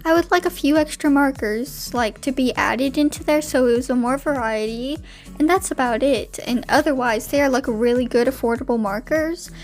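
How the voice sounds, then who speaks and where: A young woman chatting casually over a voiceover, filling her talk with "like", her voice slightly hoarse. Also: high-pitched, moderate pace, faint music behind. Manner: chatty, many "like" fillers. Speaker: art YouTuber.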